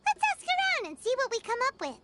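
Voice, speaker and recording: A woman's voice: very high-pitched, wildly swooping pitch, fast talker, exaggerated voice. A woman voicing a small, chatty floating fairy girl companion who talks about herself by name, in a video game. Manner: chirpy, refers to herself by name. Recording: game audio with background music.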